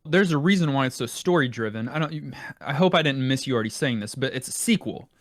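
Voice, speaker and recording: A man's voice: mid-range voice, expressive, quick pace, dry recording, clean studio sound. A man co-hosting a podcast in casual, teasing conversation about video games. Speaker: podcast co-host.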